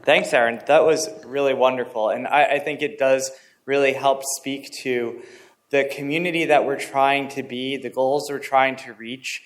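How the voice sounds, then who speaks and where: A man moderates a session in an informal speaking style. His voice sits at an ordinary middle pitch. He speaks as someone handing over to questions and discussion. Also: fairly even intonation, normal speed. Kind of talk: informal spoken remarks.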